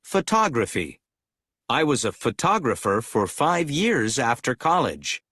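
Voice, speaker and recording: Brief: woman voicing a calm hairstylist, very low and breathy, slow and even. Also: dry recording, clean studio sound, full character voice. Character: calm adult hairstylist chatting with a client. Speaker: hairstylist in a recorded textbook dialogue. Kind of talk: scripted textbook listening dialogue.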